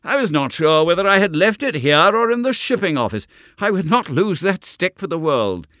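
A man voicing an eager, fussy young country doctor, speaking in an excitable, effusive way in an audiobook reading. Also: normal speed, no echo, clean studio sound. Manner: excitable, effusive and high-strung.

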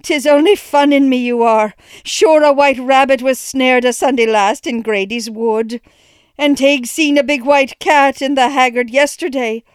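A reader voicing an anxious, devout farm wife and mother who talks in an excitable, reproachful brogue. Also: high-pitched, measured pace, smooth, no room sound, voice pushed up.

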